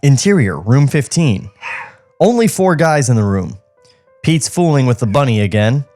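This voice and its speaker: A man's voice: mid-range voice, sing-song, normal speed, darkish tone, soft background music. A man narrating stage directions from a script at a podcast table read. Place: podcast studio.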